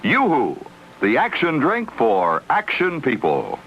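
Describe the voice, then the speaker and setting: Very animated male radio pitchman, muffled recording. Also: slow pace, a little hiss or hum. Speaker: broadcaster reading a sponsor spot. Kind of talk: scripted two-man radio advertisement. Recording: dull vintage radio broadcast.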